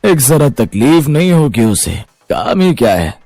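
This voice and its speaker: A man's voice: mid-range voice, very animated, a little slow, warm tone, no echo, faint music behind. A man voicing a demanding old father-in-law, grumbling and bossy, in a cartoon moral story. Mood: boastful. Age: older. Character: demanding old father-in-law, strict, self-satisfied patriarch.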